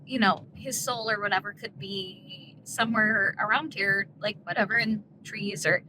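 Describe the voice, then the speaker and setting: A woman chatting as a guest in a car, playful and quick to riff. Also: mid-range voice, steady tone. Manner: playful, goofs with voices. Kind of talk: casual chat. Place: inside a car. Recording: noisy car interior.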